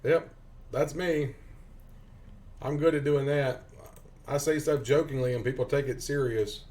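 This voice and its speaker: A man's voice: medium pitch, fairly even intonation, measured pace, darkish tone. A man with a Tennessee Southern accent, chatting casually and jokingly with viewers during a game live stream. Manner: joking, rambling, chuckles often.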